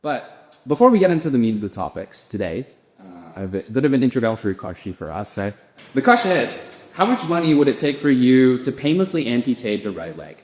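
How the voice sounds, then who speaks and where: A young man hosting a podcast, thinking aloud in relaxed casual chat with lots of fillers. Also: mid-range voice, fast talker. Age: young adult. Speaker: podcast host. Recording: echoey room with long reverb.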